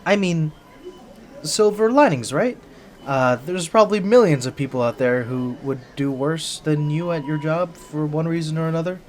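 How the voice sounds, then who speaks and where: A man's voice: on the high side, normal speed, close to an everyday voice. Man playing a nervous, stammering sweet bunny boy. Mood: reassuring. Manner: stammering, hesitant, bashful, earnest. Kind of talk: intimate ASMR roleplay addressed to the listener.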